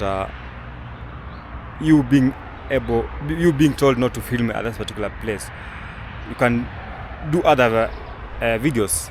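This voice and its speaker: A young man, a travel vlogger, giving casual commentary outdoors, rambling with many fillers and restarts. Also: mid-range voice. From Kenya. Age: young adult. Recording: outdoor recording with traffic noise.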